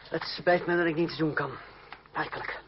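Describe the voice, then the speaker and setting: An actor playing a proud young fencing master, formal and ironic, in a Dutch radio play. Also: male voice, a little high, fairly even intonation, moderate pace, natural voice. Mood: apologetic, earnest. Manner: formal, ironic, self-assured. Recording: dull, noisy old radio recording.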